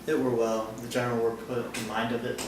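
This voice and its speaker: A man acting an honorable officer in a Shakespearean play, formal and earnest. His medium-pitched voice sounds off-mic and echoey, with clear noise around it. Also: little pitch movement, a little fast, lightly acted. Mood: grave concern. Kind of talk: classical Shakespearean stage acting. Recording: distant, echoey, noisy stage recording.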